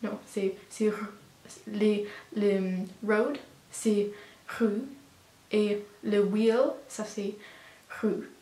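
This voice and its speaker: A woman's voice: medium pitch, little pitch movement, very slow, long pauses, warm tone, echoey room, poor audio. A teenage girl from Canada with an English Canadian accent, a native English speaker vlogging casually in a learned language, hesitant and searching for words. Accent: English Canadian learner of French. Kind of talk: casual vlog, speaking unscripted.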